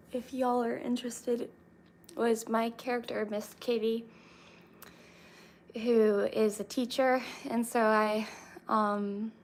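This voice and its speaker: A young woman chatting casually with her viewers, relaxed and reading comments aloud. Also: a little high. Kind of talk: casual chat with live chat.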